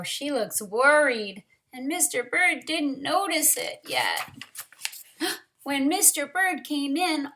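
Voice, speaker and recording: Female storytime reader for young kids: very slow, bright delivery, expressive narration, wondering asides to listeners. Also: sits high. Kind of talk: read-aloud picture book narration with asides to children.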